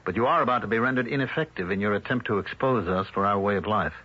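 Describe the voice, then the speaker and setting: Husky, smug small-town villain. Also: male voice, lowish voice, quick pace. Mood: cold threat. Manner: smooth-mannered, condescending, menacing. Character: smug, threatening male small-town leader. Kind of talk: 1970s radio drama acting. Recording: muffled old radio broadcast.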